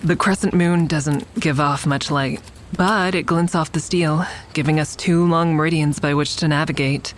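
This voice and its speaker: A woman's voice: deep voice, steady tone, normal speed, a little hiss or hum, natural voice. A woman playing a wary survivor who narrates in the first person in an audio drama, introspective in manner. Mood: wary, reflective. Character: wary shooting survivor narrating a nighttime adventure.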